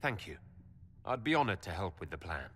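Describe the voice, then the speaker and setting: A man playing an earnest, diplomatic hero in a video game, reasoning plainly with measured words. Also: low voice, sing-song, a bit bright, slightly rough, exaggerated voice. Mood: courteous. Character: earnest, diplomatic adult male fantasy hero. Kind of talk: video game cutscene acting. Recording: hall reverb with music behind.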